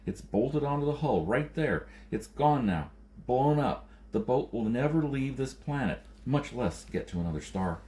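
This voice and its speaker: A man voicing an anxious, quick-witted male outsider in an audiobook, speaking in an earnest, pressing manner. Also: medium pitch, animated, warm tone, pitched above the performer's own voice, lightly acted. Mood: urgent bluffing.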